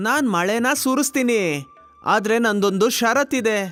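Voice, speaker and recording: A man's voice: very high voice, normal speed, a bit bright, faint music behind. A man voicing a confident, cheeky young village man in a children's cartoon story.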